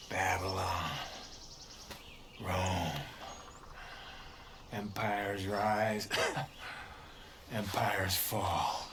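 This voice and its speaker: An actor playing a grim, fatalistic cult militia leader in a game cutscene, deliberate and brooding. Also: male voice, deep voice. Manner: deliberate, brooding, menacing monologue. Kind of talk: video game cutscene acting.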